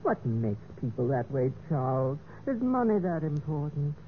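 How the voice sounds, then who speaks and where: An actress playing a trusting, lonely young heiress in a vintage radio mystery, speaking with earnest, genteel gentleness. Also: female voice, sits low, sing-song, normal speed, narrow, tinny audio. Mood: earnest, tender. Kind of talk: 1940s-50s radio mystery acting. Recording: noisy band-limited old radio recording.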